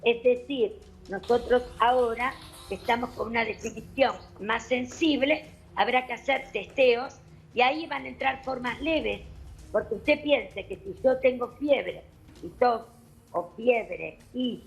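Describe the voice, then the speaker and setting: A woman doctor with an Argentine accent, explaining calmly and methodically on a television panel. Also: a little high, vocal fry, low-quality recording. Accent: Argentine Spanish. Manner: measured, explanatory, addresses host formally.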